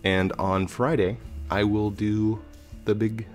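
A low-pitched man in his thirties talks through a video game, chatty and meandering with plenty of ums. His voice is a bit hoarse and stuffy from a cold. He speaks into a headset mic at home, with soft music underneath. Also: steady tone, slow pace. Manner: chatty, rambling, with frequent fillers. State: slightly hoarse with a head cold. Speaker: gaming channel host. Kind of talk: casual let's play commentary.

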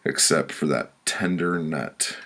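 Very deep, gravelly man telling crude stories. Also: slow pace, noisy background. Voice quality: gravelly, rough. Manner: crude, profane, blunt. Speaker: author reading their own audiobook. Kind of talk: casual first-person comic storytelling, read aloud.

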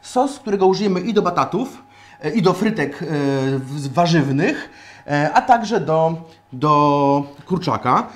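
High-voiced man hosting a cooking show. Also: animated, unhurried. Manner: chatty, explains each step while cooking, many fillers. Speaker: cooking show host, chef. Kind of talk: casual cooking chat on a live stream. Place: small home kitchen studio indoors. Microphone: lapel mic.

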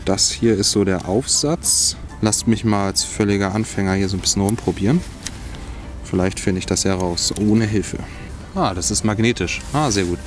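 A young man giving a casual hands-on review of outdoor gear, outdoors in a bare forest, thinking aloud as he tries things out. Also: lowish voice.

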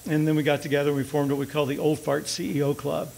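A man's voice: fairly high pitch, little pitch movement, quick pace, gravelly, darkish tone, low-quality recording. An older man, a company executive, giving a conference presentation on stage at a podium microphone, with dry, chatty jokes. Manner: dry joking, loose and chatty. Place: large auditorium stage.

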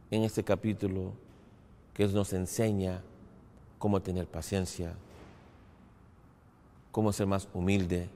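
Low slow guy, halting religious talk, echoey hall. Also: mellow. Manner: halting, in short phrases with pauses. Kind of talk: short religious talk to camera. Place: large empty hall with a banner, indoors. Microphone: lapel mic, standing to camera. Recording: long hall reverb.

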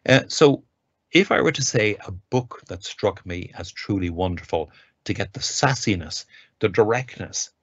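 Deep-voiced man lecturing over video. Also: lively intonation, normal speed, fairly bright tone, slightly rough, dry recording. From Ireland. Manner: enthusiastic, reads quotes aloud with emphasis. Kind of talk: academic lecture. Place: home study with bookshelves. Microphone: webcam on a video call. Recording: dull lo-fi video call audio, dry.

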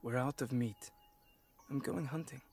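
A man's voice: medium pitch, unhurried, darkish tone, faint music behind, close to an everyday voice. A young man acting in a video game as an earnest, protective teenage son who hunts. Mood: concerned.

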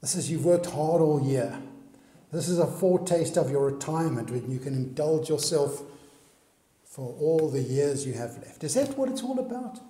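A man's voice: on the high side, expressive, normal speed, dark tone. A man preaching a sermon, pressing the listener with one earnest question after another. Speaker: pastor. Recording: echoey hall recording.

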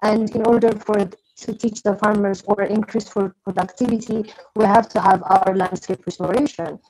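A young woman speaks with an Ethiopian accent, explaining her work to a panel. Her pitch is average for a woman, and faint noise sits behind her on the video call. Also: steady tone, normal speed, a little mellow, rough recording. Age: young adult. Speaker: guest speaker, architect and co-founder. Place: plain room indoors, video call.

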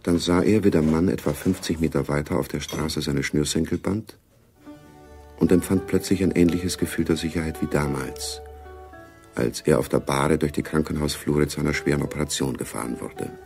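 A man narrating a scripted radio spy drama in a composed, gravelly voice. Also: a bass voice, steady tone, moderate pace, darkish tone, soft background music. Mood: measured. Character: composed male narrator of a Cold War spy story.

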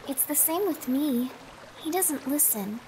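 A woman in an amateur anime fan dub voicing a timid, doubtful young catgirl, soft-spoken and questioning. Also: high-pitched, animated, a little slow, slight background noise, low-quality recording. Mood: unsure.

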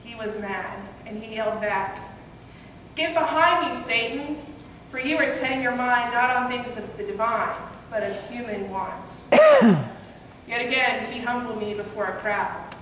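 A woman's voice: a little high, a little slow, fairly bright tone. A young woman pastor at a church pulpit, preaching a first-person story sermon in an earnest, conversational storytelling manner. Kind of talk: first-person dramatic monologue sermon as Peter. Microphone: gooseneck pulpit mic, far camera. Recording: echoey hall, distant and noisy.

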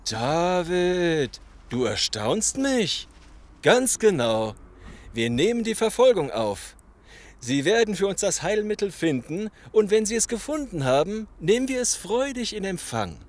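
Casting: male actor as condescending zombie-apocalypse gang boss, audio drama, slightly high male pitch, commanding and menacing. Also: sing-song, unhurried. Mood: gloating menace. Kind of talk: German audio drama acting.

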